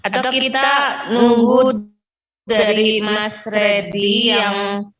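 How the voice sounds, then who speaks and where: A woman with an Indonesian accent casually coordinating technical setup, haltingly searching for words. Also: medium pitch, little pitch movement, a bit bright, recorded from a distance, lo-fi sound, soft background music. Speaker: event organiser.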